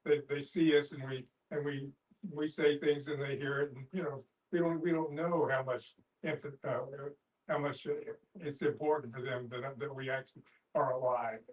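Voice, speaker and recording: An older man talking in a halting, emphatic, folksy way in a casual group discussion. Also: medium pitch, fairly even intonation, distant mic, low-quality recording.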